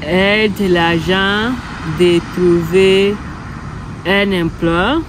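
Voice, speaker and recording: Young woman, English speaker, slow, hesitant French-learner delivery over a constant noisy hum. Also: fairly low pitch. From Ghana. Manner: hesitant, halting, self-correcting. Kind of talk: practice speaking exercise. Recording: steady background hum.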